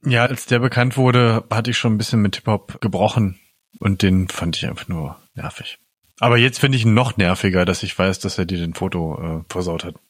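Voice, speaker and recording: Low-voiced man, slangy and dry-sounding. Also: a little fast, no room sound. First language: not German. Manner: enthusiastic, slangy reactions. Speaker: podcast host. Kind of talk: casual chat.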